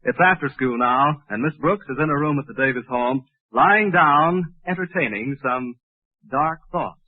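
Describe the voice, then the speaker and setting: A man's voice: medium pitch, normal speed. A man announcing and narrating a comedy show in scripted 1940s radio style. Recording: muffled old 1940s radio recording.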